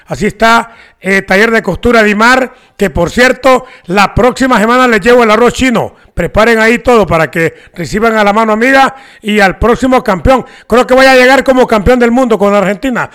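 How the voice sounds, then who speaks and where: Honduran male sports co-host, high smooth voice, playful banter. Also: a bit bright. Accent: Honduran Spanish. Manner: joking, playful, reads sponsor plugs. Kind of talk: casual sports talk banter.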